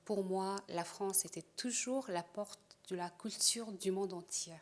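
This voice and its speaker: Woman, doctoral student testimonial, halting delivery with fillers. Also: mid-range voice. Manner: hesitant, many pauses and fillers. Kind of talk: prepared spoken testimonial.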